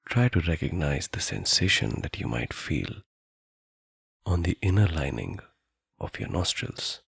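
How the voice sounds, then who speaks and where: A man guiding a meditation in a soothing, deliberate manner, leaving long pauses between phrases. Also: a bass voice, sing-song, vocal fry, fairly bright tone, breathy edge, dry recording, clean studio sound. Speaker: meditation guide. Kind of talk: guided meditation narration.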